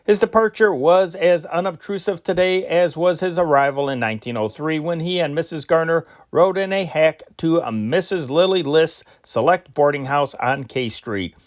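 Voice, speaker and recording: A man reading old newspaper dispatches aloud in an unpolished, measured way, with occasional slips. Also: a little high. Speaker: news reader.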